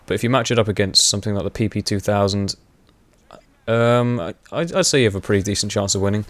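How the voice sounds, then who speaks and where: Chatty young man with a low voice. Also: quick pace, a bit bright, dry recording. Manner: rambling, chatty, laughs often, many fillers. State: voice going hoarse. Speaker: gaming commentator. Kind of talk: casual gameplay commentary.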